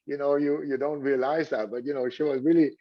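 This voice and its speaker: Man, halting and filler-heavy. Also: on the high side, steady tone. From Switzerland. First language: French. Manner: halting, many "you know" fillers and repeated words. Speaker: hotel general manager. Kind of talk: casual interview answers. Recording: dull lo-fi audio.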